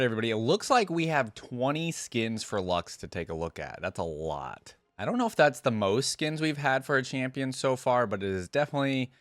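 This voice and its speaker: A man's voice: medium pitch, a bit bright, no room sound. A man giving casual, enthusiastic reaction commentary as a video host, speaking informally with slangy asides. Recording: music playing behind the voice. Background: game music.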